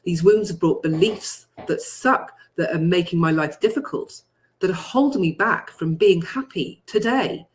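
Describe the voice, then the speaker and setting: A guide leads a healing meditation in a mid-pitched voice. The phrasing is deliberate and repetitive, built from first-person affirmations. Key statements are repeated with emphasis. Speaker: guided healing session leader.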